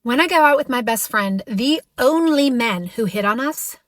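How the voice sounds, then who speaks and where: A woman's voice: on the high side, expressive, moderate pace, a bit bright, no room sound, studio quality. A woman in her forties talking to the camera, chatty and exasperated, making fun of herself. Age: 40s. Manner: chatty, exasperated and self-deprecating.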